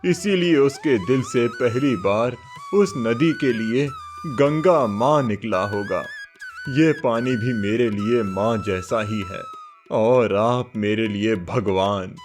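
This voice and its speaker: A man's voice: medium pitch, takes it slowly, natural voice. A man voicing a thirsty, grateful old wandering holy man in a cartoon moral story, humble and reverent. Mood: grateful, devout. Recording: music bed under the voice.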